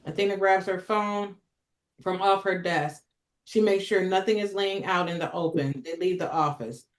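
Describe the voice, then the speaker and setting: A woman's voice: fairly low pitch, moderate pace. A woman reading stage directions as narrator at a script table read, in a roomy recording. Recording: roomy, echoing sound.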